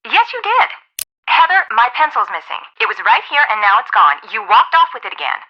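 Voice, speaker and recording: A demanding, scatty boss for a video game. The pitch runs high and swoops about in a lively way, with a muffled, lo-fi recording. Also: female voice, a little fast. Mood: irritable.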